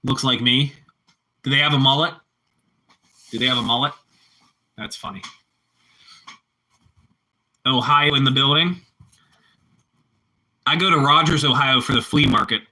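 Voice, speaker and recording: A man's voice: medium pitch, unhurried, long pauses, sharp tone, rough recording. A man with a Pittsburgh accent chatting casually with live-stream viewers, rambling and repeating himself as he answers the chat.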